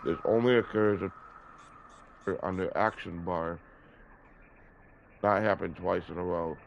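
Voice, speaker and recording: A man's voice: low voice, steady tone, slow pace, creaky. Stuffy-voiced man talking through a cold. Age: 30s. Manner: rambling, many fillers, corrects himself. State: stuffy from a cold, sore throat. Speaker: gaming video host. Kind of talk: casual gameplay commentary. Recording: dull lo-fi audio with background noise.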